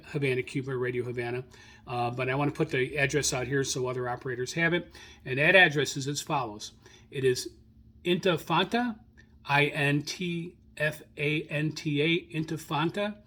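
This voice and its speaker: Halting, informal man hosting a hobby show. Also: fairly high pitch, a bit bright. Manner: halting, self-correcting, searching through notes. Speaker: radio hobbyist host. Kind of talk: informal spoken log rundown, unscripted.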